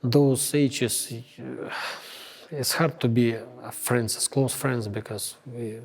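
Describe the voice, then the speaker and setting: A man speaking halting English in a documentary interview, often pausing to search for words. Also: mid-range voice, steady tone, vocal fry, a little mellow. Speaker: interviewee, fighter.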